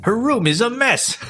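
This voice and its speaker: An actor playing a selfish, lazy young husband in a manga dub, whiny, smug and dismissive. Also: male voice, extremely high pitch, pushed and bright, exaggerated voice.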